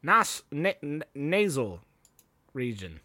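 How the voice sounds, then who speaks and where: A man's voice: a little high, sing-song, slow, bright, forceful tone, no room sound, studio quality. A man co-hosting a music podcast, talking loosely with lots of fillers, restarts and swearing. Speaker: podcast co-host. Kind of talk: casual music chat.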